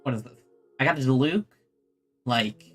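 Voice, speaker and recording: A streamer giving casual live gameplay commentary, excitable and often repeating words. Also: mid-range voice, moderate pace. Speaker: game streamer. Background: faint game music.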